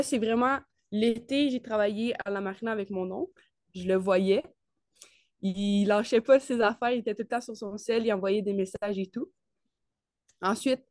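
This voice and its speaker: A woman's voice: medium pitch, darkish tone, no room sound, studio quality. A woman of nineteen with a Quebec French accent, chatting casually and enthusiastically as an interview guest in colloquial speech. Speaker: interview guest, network marketer. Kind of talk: casual chat.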